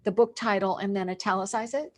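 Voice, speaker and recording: A woman's voice: mid-range voice, steady tone, a little fast. A woman interviewer talking casually and asking questions in an informal, curious way.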